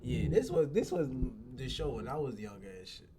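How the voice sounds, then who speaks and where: A man's voice: mid-range voice, wildly swooping pitch, normal speed, creaky, heavy background noise. A young adult man giving casual, off-the-cuff reaction commentary in slangy, repetitive exclamations. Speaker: reaction video host.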